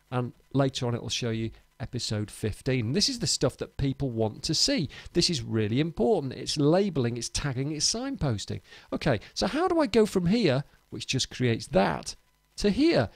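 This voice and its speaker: A man's voice: medium pitch, no echo. A man giving an informal screencast tutorial in a chirpy, emphatic, chatty presenter's manner. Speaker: podcast host giving a tutorial.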